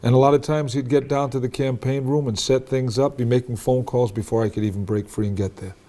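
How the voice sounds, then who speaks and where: A man hosts a local cable talk show, his sitting on a warm, dark voice. He walks his guest through topics with leading questions and keeps checking that he has the facts right. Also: mid-range voice, fairly even intonation, fairly quick.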